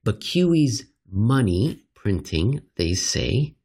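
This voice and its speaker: A man reading a scripted economics commentary aloud as narrator, with an emphatic, rhetorical delivery and deliberate pauses. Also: fairly low pitch, sing-song, darkish tone, husky.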